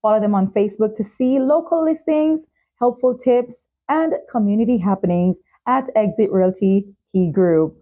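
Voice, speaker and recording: A woman's voice: medium pitch, moderate pace, dark tone, dull audio. A woman hosting a talk show and podcast, speaking as the presenter to guest and audience. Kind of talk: interview hosting.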